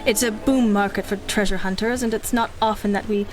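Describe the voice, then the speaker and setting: A woman's voice: mid-range voice, steady tone, brisk, over music, lightly acted. An actress playing a cynical, ruthless female mercenary in a 1930s-style radio drama, speaking with arch, mocking condescension. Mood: coolly mocking.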